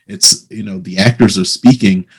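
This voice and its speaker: A man hosting a casual interview, talking haltingly as he searches for words. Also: on the low side, gravelly, breathy edge. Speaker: interviewer, host.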